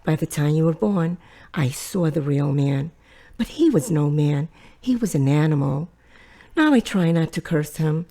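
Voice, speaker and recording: Woman acting a fussing mother, swooping, creaky voice. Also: low voice, lively intonation, measured pace, warm tone, heavily acted. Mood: grave, reflective. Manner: fussing, maternal, probing. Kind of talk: audio drama acting.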